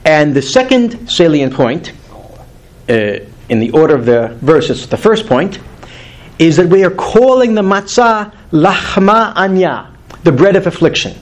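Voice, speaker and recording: A man's voice: fairly high pitch, big pitch swings, measured pace. Earnest man teaching a Torah class. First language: not English. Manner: earnest, emphatic, rising on key words. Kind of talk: weekly Torah class lecture. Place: classroom with a live group.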